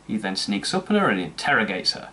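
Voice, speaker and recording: A man's voice: fairly low pitch, sing-song, quick pace, pushed and bright, soft background music. A man narrating a comedic scripted film review with sarcastic, mocking asides. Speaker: movie reviewer.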